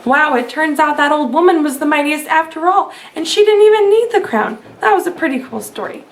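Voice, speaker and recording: A woman reading aloud to children as a storyteller, expressive and asking her listeners questions. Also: high voice, a little fast.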